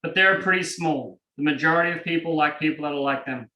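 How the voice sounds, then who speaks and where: A man with an Australian accent teaching a live online coaching class in a lively, conversational way and acting out examples. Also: fairly high pitch, fast, distant mic. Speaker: NLP trainer. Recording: roomy and from off the mic.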